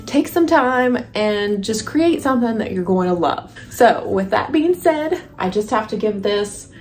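A woman's voice: medium pitch, animated, measured pace, darkish tone. Woman vlogger, encouraging, over music. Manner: encouraging, addresses viewers directly. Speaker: home decor and lifestyle vlogger. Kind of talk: casual vlog chat to viewers. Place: rooms of a house, indoors. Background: background music.

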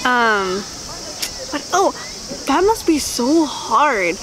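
A young woman in her twenties talks to a camera held at arm's length on a riverbank outdoors. Her voice is high and her chat is bubbly and animated. Faint insects chirp behind her. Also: extremely slow, background noise. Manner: bubbly and animated, with gasps and laughs. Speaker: travel vlogger. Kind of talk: casual chat.

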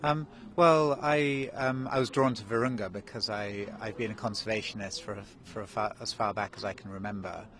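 A man's voice: fairly low pitch, fairly even intonation, moderate pace, few pauses, fairly bright tone. A man in his forties who grew up in Kenya, answering questions in a live outdoor TV interview, hesitant with many ums and restarts. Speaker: interview guest, national park director. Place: outdoors in snow. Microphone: handheld mic held by interviewer.

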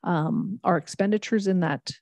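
A woman on municipal finance staff giving a formal budget presentation to council, measured and explanatory as she reads out long figures. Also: fairly low pitch, a little mellow, no echo. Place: large council chamber.

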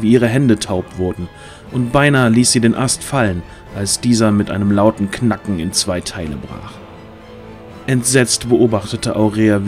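A man narrating a fantasy audio drama in a dramatic, scripted storytelling style. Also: lowish voice. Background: music.

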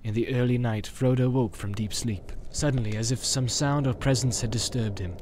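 A man's voice: medium pitch, normal speed, a little mellow. A man narrating an audiobook in a dramatic storytelling style.

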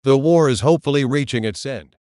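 A man reading a scripted news report as a presenter in a formal, measured way. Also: mid-range voice, darkish tone, no room sound, clean studio sound.

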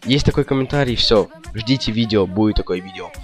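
A man's voice: on the low side, brisk, faint music behind. A young man giving casual gameplay commentary, rambling indecisively and slangily to his viewers. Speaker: gaming video host.

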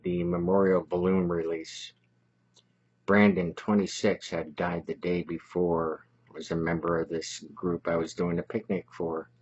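A man's voice: low voice, level tone, a little slow, a little mellow, slightly rough, muffled recording. An older man, a DJ, recalling a moving moment in a solemn, reflective way into a studio mic.

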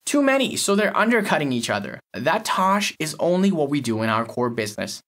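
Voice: mid-range voice, sing-song, fairly quick, a bit bright. An actor playing an impatient, reluctant lab technician in an audio story, explaining with exasperation. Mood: exasperated.

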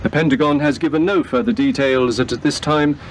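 An actor in a radio play playing an earnest war correspondent reading a grave news report. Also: male voice, mid-range voice, fairly even intonation, moderate pace, a little hiss or hum, close to an everyday voice. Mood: grave, urgent.

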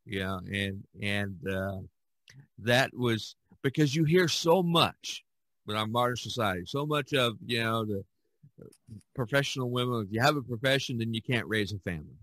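A man's voice: mid-range voice, expressive, normal speed, fairly bright tone, dry recording. An older man hosting a casual podcast chat, rambling and often repeating his phrases. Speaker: podcast host.

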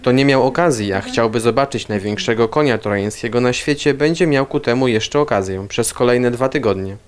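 Male reporter voice-over, steady and scripted, middle pitch. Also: a little fast. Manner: even, read-aloud delivery. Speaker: news reporter.

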